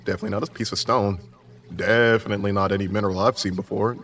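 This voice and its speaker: Low-voiced man, slightly far from the mic. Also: fairly even intonation, fast, fairly bright tone. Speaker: player. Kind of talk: in-character tabletop role-play. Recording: slightly distant mic, faint room noise.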